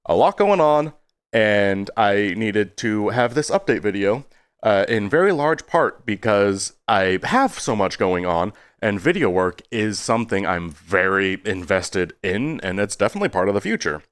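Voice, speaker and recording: A man's voice: lowish voice, big pitch swings, measured pace, clean tone, no echo. A man giving a casual, rambling video update in a self-deprecating way, stopping and starting and slipping into exaggerated tones.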